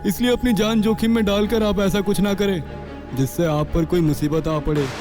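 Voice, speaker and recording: A man acts a timid, evasive forest inspector in a ghost story. His high voice is excitable and cautionary, over clearly audible music. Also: normal speed, natural voice. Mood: fearful, warning. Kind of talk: Hindi horror audio story acting.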